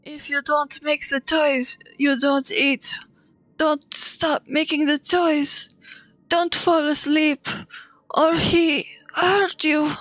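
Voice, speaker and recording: A woman playing a frightened, traumatized child in a horror audio drama, speaking in halting, broken sentences. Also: high-pitched, level tone, fairly bright tone, no room sound, rough recording. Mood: distressed, tearful.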